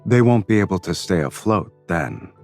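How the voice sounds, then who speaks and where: A man playing a suave, teasing, self-assured love interest in a video game, speaking in a smooth, wry manner. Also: deep voice, expressive, normal speed, darkish tone, faint music behind. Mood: calm, scheming. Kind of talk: video game cutscene voice acting.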